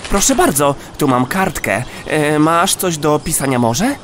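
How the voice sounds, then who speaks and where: A man's voice: a little high, big pitch swings, a little slow, background noise. A man playing a friendly, teasing little rat in a children's audio play, with playful, hesitant phrasing. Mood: helpful.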